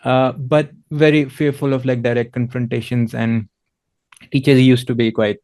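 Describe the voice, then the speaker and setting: A man's voice: mid-range voice, level tone, normal speed, a little mellow, dry recording. A man speaking as a panel guest, candid and hesitant, with lots of fillers and restarts. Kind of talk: casual reflective conversation.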